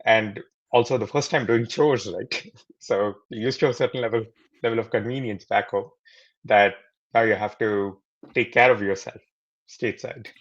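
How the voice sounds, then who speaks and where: Casting: low-voiced guest from India, earnest explainer, muffled lo-fi call sound. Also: male voice, steady tone, moderate pace. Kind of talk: interview answers.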